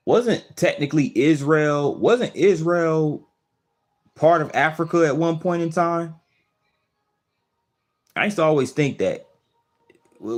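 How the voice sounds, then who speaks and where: A man hosting a casual livestream, thinking aloud with many restarts and fillers as he answers viewer comments. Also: medium pitch. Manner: easygoing, questioning. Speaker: livestream host. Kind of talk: casual live chat, reading viewer comments.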